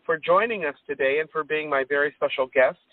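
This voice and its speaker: A woman's voice: sits low, fairly quick, dry recording. Woman radio host, phone-like sound. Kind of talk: hosting an inspirational radio interview.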